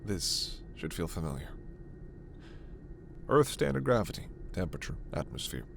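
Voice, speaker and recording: Guy acting as alien doctor for scifi asmr, lowish voice, formal but gentle and reassuring, protective, bit of background ambience. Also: big pitch swings, vocal fry, a little hiss or hum, heavily acted. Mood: warm, guiding. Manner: measured, formal, reassuring, soft-spoken asides. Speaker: voice performer. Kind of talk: sci-fi ASMR roleplay acting.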